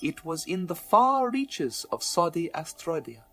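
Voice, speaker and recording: An actor playing a humble, wily shopkeeper and informant in a radio drama, with stilted, overpolite, self-deprecating broken English. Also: male voice, a little high, very animated, a little slow, bright, forceful tone, full character voice. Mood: humble, cryptic. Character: humble, wily male antique-shop proprietor and informant. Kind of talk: radio drama character dialogue.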